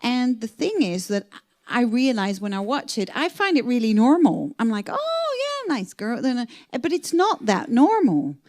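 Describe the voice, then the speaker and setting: A woman's voice: a little high, wildly swooping pitch, normal speed, a little mellow. A woman from the Netherlands speaking on a panel, hesitant and searching for words. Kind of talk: panel discussion remarks.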